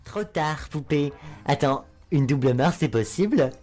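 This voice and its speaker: A woman voicing a very low, sneering spider demon. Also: expressive, unhurried, dark tone, soft background music. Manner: sarcastic, lewd, mocking drawl. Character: crude flamboyant male spider demon, sarcastic. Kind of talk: fan dub acting.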